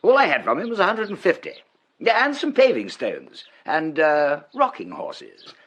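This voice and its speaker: An actor playing a vague, idle young English gentleman in a period radio drama, dithering and hesitant. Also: a little high, huge pitch swings, normal speed, slightly rough, exaggerated voice. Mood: airy, vague.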